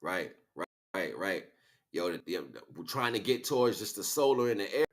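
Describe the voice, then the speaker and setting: Low-voiced man talking in casual slang. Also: animated, normal speed. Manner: rambling street-slang talk, frequent rhetorical check-ins. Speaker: livestream host. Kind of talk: casual spiritual monologue.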